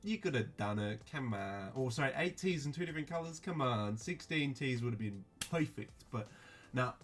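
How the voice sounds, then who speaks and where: Flat-voiced man with many ums. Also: medium pitch, moderate pace, soft background music. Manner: flat, mildly disappointed, many fillers. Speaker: streetwear vlogger. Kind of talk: casual unscripted review.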